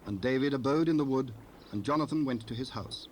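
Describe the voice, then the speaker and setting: A man narrating a dramatized scripture recording in a formal, measured storytelling manner. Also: mid-range voice, darkish tone, a little hiss or hum, natural voice.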